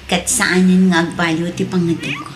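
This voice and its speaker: A woman's voice: fairly low pitch, little pitch movement, normal speed, lightly acted. A woman with a Filipino accent playing a hurt but resolute young mother in an Ilocano radio drama. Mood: sad, resolute. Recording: music behind the voice.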